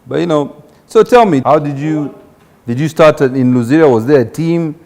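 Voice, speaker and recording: A man's voice: fairly high pitch, moderate pace, a little mellow. Encouraging male talk show host. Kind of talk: conversational interview.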